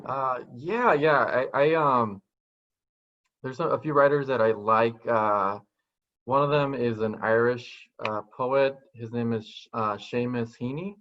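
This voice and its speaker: A man in his thirties, a native Spanish speaker, answering interview questions in a soft-spoken, thoughtful way, speaking from a small room at home over a video call. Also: mid-range voice, slow pace. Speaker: guest poet. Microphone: video call webcam. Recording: dull lo-fi video call audio.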